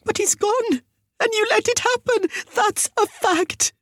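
A woman's voice: very high voice, unhurried, a bit bright, no echo, clean studio sound, voice pushed up. A woman voicing a frantic, hysterical mother of a missing toddler, crying out and pleading in an audiobook story. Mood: sobbing, accusing.

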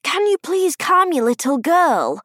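A woman's voice: extremely high pitch, sing-song, unhurried, a bit bright, no echo, clean studio sound, voice pushed up, exaggerated voice. A woman voicing a grumpy, disapproving grandfather in an audiobook, complaining in a stern, snooty manner. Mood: annoyed. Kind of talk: audiobook character voice.

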